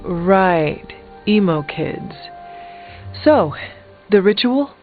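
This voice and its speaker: An actress playing a dry, blunt, impatient warrior heroine in a video game, talking tersely. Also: female voice, medium pitch, lively intonation, drawn-out words. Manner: terse, sardonic. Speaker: video game character actor. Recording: thin phone-like band, music behind.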